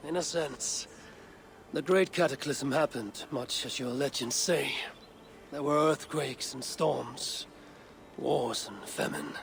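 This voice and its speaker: An actor playing a grave, patient sage of a lost ancient people in a video game, explaining things solemnly. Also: male voice, medium pitch, slow pace, gravelly, slight background noise. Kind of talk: dramatic video game acting.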